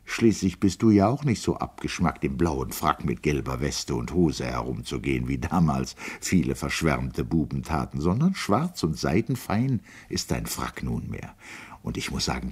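A man reading aloud and voicing a proud, wounded, sharp-tongued older woman in an audiobook, lifting his pitch for her lines. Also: lively intonation, moderate pace, breathy edge, exaggerated voice. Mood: mocking.

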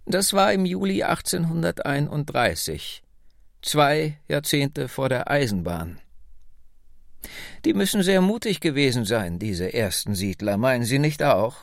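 A man reading the lines of a wry, nearly hundred-year-old woman telling her family's story, slowly and slyly. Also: medium pitch, sing-song, creaky, dry recording, clean studio sound. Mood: reminiscing. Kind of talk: radio book reading.

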